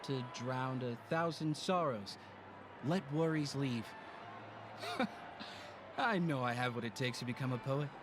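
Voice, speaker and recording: A man's voice: mid-range voice, a little slow, pauses often, lightly acted. A man voicing a tipsy would-be poet who giggles between phrases, in a game with background noise.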